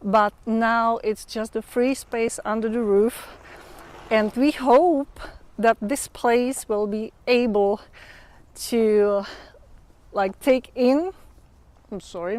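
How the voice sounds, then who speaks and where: A young adult woman with a Czech accent, a non-native English speaker hosting a casual livestream and often pausing to search for words. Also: mid-range voice, a little mellow. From Czech Republic. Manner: hesitant, searching for English words with many fillers. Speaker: livestream host. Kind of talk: casual livestream chat with viewers.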